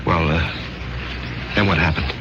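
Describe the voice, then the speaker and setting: An actor in an old-time radio drama playing an uneasy, humble war veteran who speaks hesitantly and stammers. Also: male voice, very deep voice, a little slow. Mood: worried, subdued. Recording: muffled, heavily noisy old radio recording.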